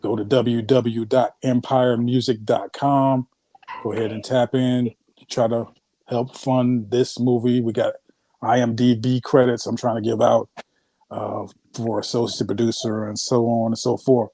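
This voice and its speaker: Flat, mellow-voiced man with lots of ums. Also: medium pitch, a little slow, no room sound. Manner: hesitant, lots of "uh" and "um" while giving shout-outs. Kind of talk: casual podcast chat.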